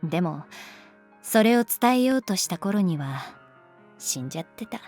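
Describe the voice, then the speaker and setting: An actress playing a calm, guarded young schoolteacher in a Japanese audio drama, speaking softly and frankly. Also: female voice, on the low side, soft background music, lightly acted. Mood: wistful, regretful. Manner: unhurried, soft-spoken, frank. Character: adult female homeroom teacher, calm, guarded, gently detached. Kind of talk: Japanese anime-style audio drama acting.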